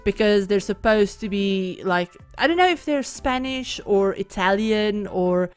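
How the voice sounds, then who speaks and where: A woman's voice: mid-range voice, expressive, unhurried, smooth, soft background music. Chatty Afrikaans-speaking woman, rambling voiceover. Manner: rambling, chatty, many "like" and "yeah" fillers. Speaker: Sims speed-build YouTuber. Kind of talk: casual game voiceover. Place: home, at night.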